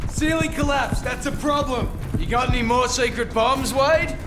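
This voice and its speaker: Brash Australian soldier, raised pitch. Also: male voice, normal speed. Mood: wry. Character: brash, cheeky, foul-mouthed Australian soldier, demolition man. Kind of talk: video game combat acting. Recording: loud music and engines behind.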